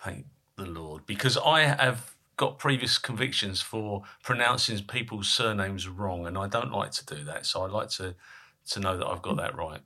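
A man's voice: on the low side, normal speed, bright, forceful tone. A man hosting a podcast interview, chatty and self-deprecating. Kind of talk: casual interview chat.

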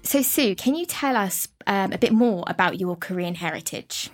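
A woman's voice: medium pitch, animated, normal speed, faint music behind. A woman co-hosting a podcast, introducing the guest and asking short, encouraging questions. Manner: brief, encouraging prompts. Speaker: podcast co-host. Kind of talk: podcast interviewing.

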